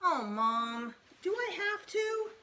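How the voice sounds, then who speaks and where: A woman's voice: very high-pitched, very animated, slow, bright, forceful tone, pitched above the performer's own voice, exaggerated voice. A woman voicing an exasperated, put-upon fourth-grade boy in a storybook, protesting with whiny indignation. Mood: whining.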